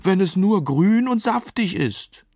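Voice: mid-range voice, lively intonation, slow, darkish tone, dry recording, studio quality, pitched above the performer's own voice. A storyteller voicing placid, timid meadow sheep who care only for grass, bleating and munching as they talk. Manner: bleating, munching with full mouth.

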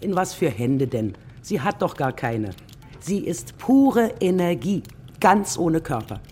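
A woman's voice: lowish voice, sing-song, slow pace, dark tone, soft background music. An older woman playing a feisty, wry grandmother in a children's radio play, grumbling in a colloquial way. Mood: wry, indignant.

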